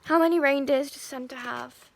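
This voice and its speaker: A woman chatting casually in a vlog, her voice croaky from a sore throat, rambling and repeating herself. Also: high-pitched, moderate pace, noisy background. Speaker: vlogger.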